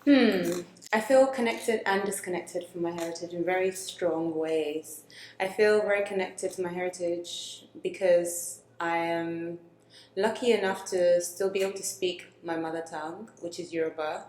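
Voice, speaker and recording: A young woman from Nigeria co-hosting a reflective personal conversation, speaking slowly and thoughtfully with pauses. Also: fairly low pitch, a little mellow, a little hiss or hum. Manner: slow, thoughtful, with pauses and fillers. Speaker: co-host. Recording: echoey room.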